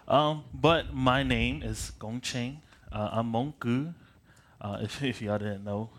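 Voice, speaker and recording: A man's voice: mid-range voice, slow pace. Young man preaching, casual and restless. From Michigan. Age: late 20s. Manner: casual and self-deprecating, with frequent restarts and chuckles; paces around while preaching. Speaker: pastor. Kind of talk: prayer and sermon introduction.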